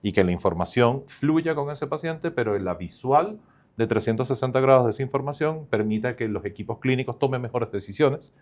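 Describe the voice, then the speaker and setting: A man's voice: mid-range voice, animated, fairly quick, a little mellow. A male startup founder, not from Chile, explaining his company at length in an upbeat podcast interview with many fillers.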